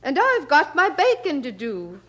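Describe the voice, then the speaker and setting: A woman's voice: sits high, huge pitch swings, unhurried, a bit bright, exaggerated voice. An actress playing a practical, nagging London mother in a radio drama. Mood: brisk, motherly. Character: fussy, practical working-class London mother.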